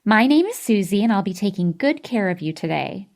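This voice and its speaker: A woman voicing a cheerful, plump female nurse who is loud, peppy and sweet. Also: medium pitch, sing-song, a little fast, gravelly.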